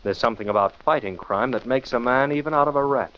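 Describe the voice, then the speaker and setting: An actor playing a confident, cool amateur detective in 1940s radio style, assured and commanding. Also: male voice, mid-range voice, fast, lightly acted. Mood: assured, stern. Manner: taunting, assured, crisply commanding. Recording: muffled, noisy 1940s radio recording.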